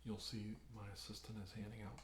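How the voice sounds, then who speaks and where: A man speaking softly and unhurriedly in an ASMR role-play as a calm doctor, often slipping toward a whisper. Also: on the low side, brisk. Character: calm, reassuring doctor briefing on alien abductions. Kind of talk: soft-spoken ASMR medical role-play. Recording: roomy echo, faint background hiss.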